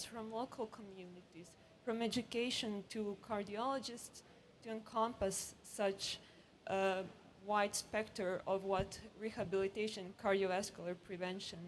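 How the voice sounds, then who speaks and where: A woman from Croatia with a Croatian accent, giving an earnest, emphatic comment from the floor at a conference. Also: medium pitch. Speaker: audience member, hospital professional. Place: conference session, speaking from audience. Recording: live event with clear background noise.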